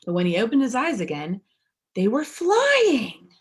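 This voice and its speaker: A woman's voice: on the high side, huge pitch swings, a little slow. A woman reading a children's storybook aloud like a pastor at story time, dramatic and speaking directly to young listeners. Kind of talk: expressive children's storybook narration.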